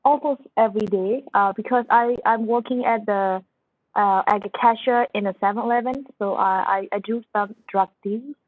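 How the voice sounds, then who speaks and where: A woman trainee giving short, hesitant role-play answers over a dull, low-fidelity connection. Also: moderate pace, dry recording. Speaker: trainee playing an interview client.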